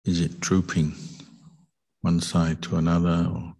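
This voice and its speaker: A man leading a guided meditation as a teacher, speaking calmly and gently with deliberate pauses between short phrases. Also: low-pitched, gravelly. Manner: calm, deliberate, gentle instruction with long pauses. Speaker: Buddhist monk meditation teacher.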